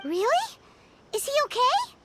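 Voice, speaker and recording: A woman voicing a small, childlike, excitable fairy companion in a video game, squeaky and exclamatory. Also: unhurried, loud music behind the voice, full character voice. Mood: curious.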